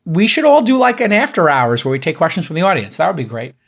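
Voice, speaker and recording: Fast-talking, profane male podcast host. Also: on the high side, very animated, vocal fry, fairly bright tone. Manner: fast, profane, joking, pushy. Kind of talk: casual banter.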